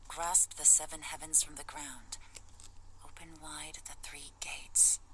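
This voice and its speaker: Game role: composed mystic woman, very low register, solemn and measured, noisy background. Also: fairly even intonation, bright, forceful tone. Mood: solemn incantation. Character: composed woman working a mystical compass, chanting incantations. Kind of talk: video game voice acting.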